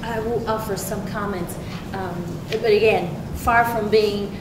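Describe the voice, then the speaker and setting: A woman's voice: fairly even intonation, measured pace. Distant woman panelist in echoey hall. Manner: hesitant, many fillers and restarts, laughs often. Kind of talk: panel discussion remarks. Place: large university event hall. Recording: echoey hall, distant mic, background rumble.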